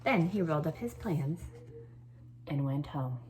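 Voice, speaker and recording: Low-pitched woman teacher. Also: animated, measured pace, a little mellow, a little hiss or hum. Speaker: teacher reading a picture book to pupils. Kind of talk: expressive storybook read-aloud, then informal assignment explanation.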